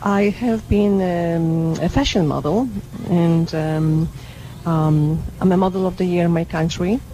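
A woman's voice: lowish voice, measured pace, mellow, low-quality recording. A woman from Poland with a Polish accent, talking casually as a guest in a radio interview. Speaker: guest, soprano and fashion model. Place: live on location radio broadcast. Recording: faint background noise, live location radio.

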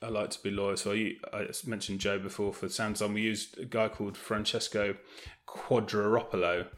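Male guest, deep register, casual and thoughtful with a hesitant edge, music playing behind. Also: little pitch movement, normal speed. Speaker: interview guest, audio drama producer. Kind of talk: casual reflective interview talk.

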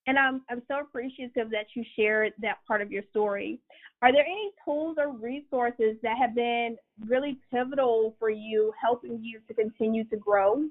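Lady interviewer, kinda high voice, really encouraging, sounds like shes on a thin phone line. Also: normal speed. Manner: affirming, encouraging. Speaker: interviewer, host. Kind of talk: conversational interviewing.

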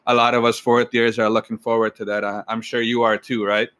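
A young man in his twenties hosting an interview, relaxed and addressing listeners directly. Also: medium pitch, fairly quick, dry recording. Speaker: podcast host.

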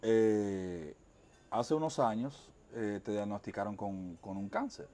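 A man's voice: lowish voice, takes it slowly, warm tone, soft background music. A man with a Dominican accent, hosting a conversational interview. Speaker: interview show host.

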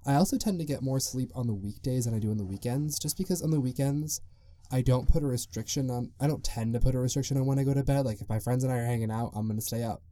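A man's voice: mid-range voice, fast, warm tone, no room sound. A young man hosting his own podcast, rambling loosely and chatting on his own. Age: young adult. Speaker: podcast host. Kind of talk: casual solo podcast monologue.

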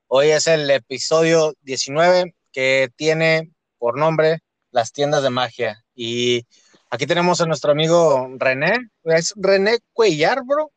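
Male podcast host, casual, hesitant, mid pitch, dry close sound. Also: takes it slowly, no echo. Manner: casual, hesitant, short prompts.

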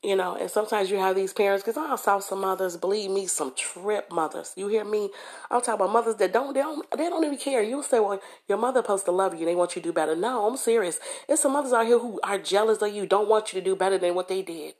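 A hoarse woman hosting a motivational podcast, talking to listeners in a conversational way and often checking in with "okay?" and "you know". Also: medium pitch, fast talker, darkish tone. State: hoarse from a cold. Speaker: podcast host. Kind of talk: motivational monologue.

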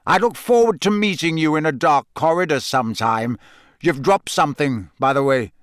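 A man voicing a gruff, battle-scarred older male professor in an audiobook, growling, taunting and sardonic with quiet menace. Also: fairly high pitch, no echo, studio quality, natural voice. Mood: menacing. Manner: barking, taunting, deliberate. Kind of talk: audiobook character voice.

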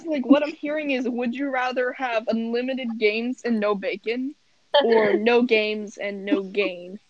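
A young woman hosting a casual call with friends, telling stories excitedly, swearing and giggling as she talks. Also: on the high side, measured pace, muffled recording. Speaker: host of a friends' chat. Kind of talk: casual chat. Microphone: home computer mic on a call.

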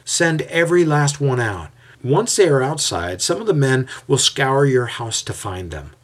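A man voicing a scheming, smooth-talking mob leader in an audio story, speaking with calculated menace. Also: medium pitch, big pitch swings, unhurried, voice pushed up. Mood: menacing. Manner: raised, calculated and coolly menacing.

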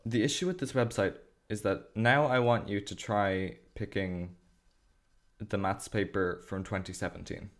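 An Irish teenage boy talks through his school life in a relaxed, rambling way. His voice sits a little low, and he keeps leaning on fillers as he explains. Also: measured pace. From Ireland. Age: teens. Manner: chatty, explains at length with fillers. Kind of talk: casual video chat.

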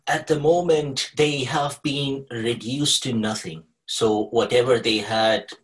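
A man's voice: medium pitch, steady tone, slow pace, poor audio. A man from Kuwait speaking as a podcast guest, explaining in a discursive, conversational way. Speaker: podcast guest, researcher with a journalism degree.

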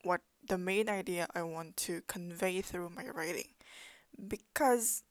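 A woman's voice: lowish voice, unhurried, no room sound. A young woman whose first language is Korean, presenting as a student in a thoughtful way, with frequent fillers and self-corrections. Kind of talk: unscripted presentation.

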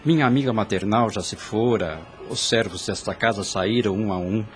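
A man's voice: lowish voice, moderate pace, natural voice. An actor playing a devoted, brooding younger man in a period radio drama, with a theatrical, formal, intense delivery. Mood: bitter, anguished. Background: background music.

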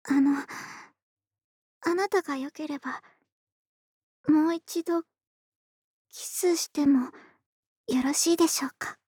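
Female actor: sweet, affectionate girl-next-door adult for ASMR roleplay; extremely high, gentle, polite delivery, dry close-mic sound. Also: takes it slowly, pauses often, dry recording. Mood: shy, tender, intimate. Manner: soft, gentle, polite, intimate murmuring with breathy whispers. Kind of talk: ASMR situation voice drama. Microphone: close dry studio microphone.

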